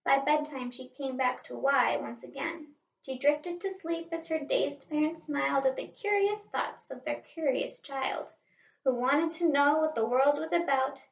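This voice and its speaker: A woman reading a children's picture book aloud in an expressive, animated way, like a teacher stressing the rhymes. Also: sits high, measured pace, vocal fry. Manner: animated, asks listeners questions, stresses rhymes. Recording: roomy, slightly distant, dull sound.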